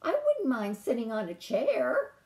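A woman's voice: fairly high pitch, huge pitch swings, measured pace, dark tone, roomy sound, pitched above the performer's own voice. A woman voicing a reluctant, complaining frog in a storybook read-aloud. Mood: whiny.

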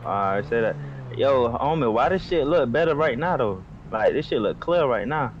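A man's voice: medium pitch, animated, moderate pace. A man chatting casually over in-game voice chat in profane slang. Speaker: online game player. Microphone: online voice chat. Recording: dull lo-fi voice chat, faint noise.

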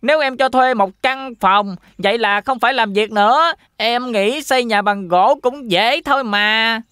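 A woman's voice: on the high side, a little slow, fairly bright tone, clean tone, no echo, clean studio sound. A woman voicing a carefree, easygoing young pig brother in a children's cartoon. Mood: cheerful.

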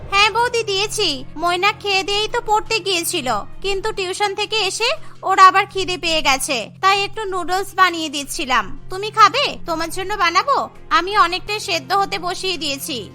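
Young obliging cartoon girl of the household, voiced by a woman, very high, eager, over music. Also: moderate pace, bright, forceful tone, exaggerated voice. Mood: eager explaining. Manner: deferential, explaining.

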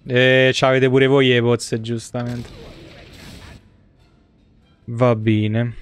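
A man's voice: medium pitch, little pitch movement, very slow, smooth. A man giving casual, foul-mouthed and jokey live game commentary in slangy speech. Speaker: video game streamer.

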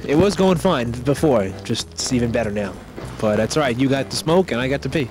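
A man's voice: mid-range voice, expressive, fairly quick, over music, rough recording. A man chatting casually on a road trip, making dry, wry remarks. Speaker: co-host. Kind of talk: casual chat.

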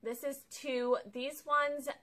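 Young woman, haul vlogger, bright and slightly high voice, chatty and upbeat, close to the camera in a living room. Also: little pitch movement, slow. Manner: chatty, upbeat, explains each item. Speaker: vlogger showing a shopping haul. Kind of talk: casual haul vlog. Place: living room with a sofa, indoors.

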